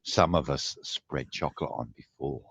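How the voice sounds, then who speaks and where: A man's voice: extremely low pitch, normal speed, gravelly, fairly bright tone. A man in a casual group chat who teases the others and cuts in with quips. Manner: teasing, interjecting.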